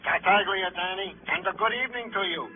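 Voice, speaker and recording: A man's voice: high-pitched, fairly quick, close to an everyday voice. An actor playing an eager, formal, long-winded policeman in a 1950s radio crime drama. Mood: eager, reporting. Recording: tinny, noisy old radio recording.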